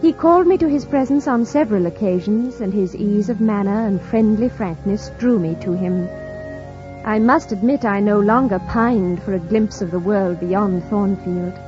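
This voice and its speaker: An actress playing an earnest, composed young governess in an old radio drama, speaking with a refined British accent and careful, formal phrasing. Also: female voice, medium pitch, normal speed, lightly acted. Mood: reflective. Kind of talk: 1940s radio drama narration and acting. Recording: dull old radio recording. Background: music.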